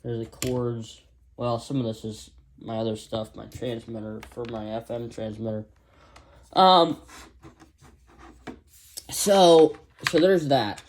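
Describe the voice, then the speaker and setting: An American man giving a casual, unscripted product demonstration as an amateur reviewer, rambling and halting in an informal way. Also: mid-range voice, slight background noise, low-quality recording. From United States.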